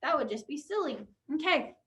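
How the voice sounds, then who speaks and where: A woman's voice: high voice, animated, normal speed, a bit bright, dull audio. A young woman teaching an online drama class for kids, encouraging and upbeat as she guides a pretend game over a video call. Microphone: computer on a video call.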